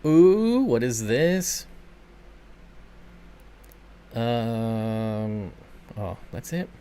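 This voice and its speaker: A man's voice: mid-range voice, lively intonation, drawn-out words, warm tone, clean tone. A man hosting a casual livestream, answering viewer comments in a laid-back, rambling way and chuckling often. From Michigan. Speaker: channel host.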